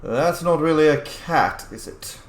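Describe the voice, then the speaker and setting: A man doing roleplay commentary over a video game, reacting out loud and swearing casually. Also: a little high, unhurried. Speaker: gaming video host. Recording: roomy echo, faint background noise.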